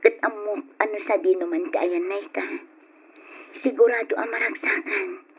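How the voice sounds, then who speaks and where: A woman as a soft-spoken, soothing mother. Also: mid-range voice, moderate pace, slightly rough. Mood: tender. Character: gentle mother comforting her son. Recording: dull lo-fi audio.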